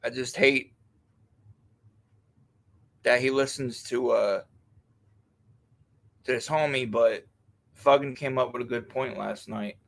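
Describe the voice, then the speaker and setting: Man host, relaxed crude chatter, gravelly edge, swears freely. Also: medium pitch. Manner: easygoing, swears a lot, quick to laugh. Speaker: live stream host. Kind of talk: casual crude banter.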